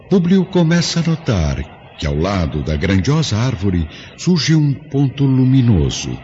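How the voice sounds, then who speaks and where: A man's voice: sits low, a little mellow. A man with a Brazilian accent narrating a radio drama from a script in a dramatic style. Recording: dull lo-fi radio drama, music behind, faint noise.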